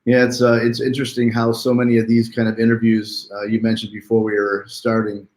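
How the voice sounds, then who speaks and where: A man's voice: on the low side, monotone, a little fast, a little mellow. A man hosting a live online interview in a chatty, welcoming, conversational way, with a roomy sound. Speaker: host.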